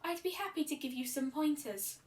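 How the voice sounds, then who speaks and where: A woman's voice: high-pitched, a little fast, pitched above the performer's own voice, natural voice. A woman voicing a shy young female pegasus pony in a fan fiction story, timid and easily flustered. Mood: brightened, shy. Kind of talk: amateur fan fiction reading. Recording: roomy echo, distant microphone.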